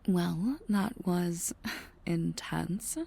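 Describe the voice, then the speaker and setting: Woman acting as a deadpan, slow, gravelly-voiced pill maker. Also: on the low side, full character voice. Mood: deadpan, cool. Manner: deadpan, terse. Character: deadpan, unenthusiastic female party-pill maker. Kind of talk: scripted audio roleplay acting.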